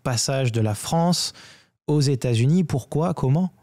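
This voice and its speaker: A man's voice: mid-range voice, expressive, measured pace, dark tone. A man hosting a podcast, asking questions in a casual, conversational way. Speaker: podcast host.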